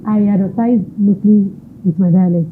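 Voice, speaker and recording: A woman's voice: mid-range voice, moderate pace, a little hiss or hum. A Nigerian woman entrepreneur answering interview questions, switching between English and Yoruba phrases. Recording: tinny narrow-band audio.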